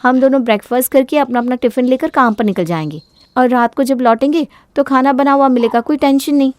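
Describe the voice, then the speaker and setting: A woman's voice: sits high, brisk, dry recording, natural voice. A woman voicing a self-centred, bossy young wife in an audio story, with a demanding, argumentative manner. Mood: persuasive, demanding. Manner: sharp-tongued, demanding, argumentative.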